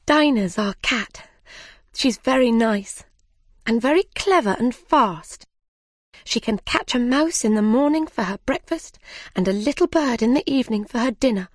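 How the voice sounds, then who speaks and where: Polite, earnest storybook little girl played by a woman, raised pitch, lilting widely, childlike. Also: expressive, moderate pace, no echo, studio quality. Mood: fond. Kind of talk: children's storybook character reading.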